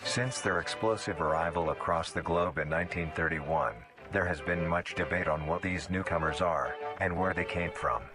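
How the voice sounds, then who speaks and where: A man reading scripted educational narration in a measured, formal, clinical manner. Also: sits low, music in the background. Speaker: documentary narrator.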